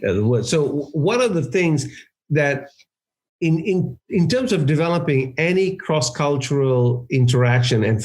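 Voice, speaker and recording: A man's voice: mid-range voice, a little mellow. A man answering interview questions as a cross-cultural consultant, thinking aloud, restarting sentences and checking in with "right?". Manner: thoughtful, restarts sentences, often adds "right?".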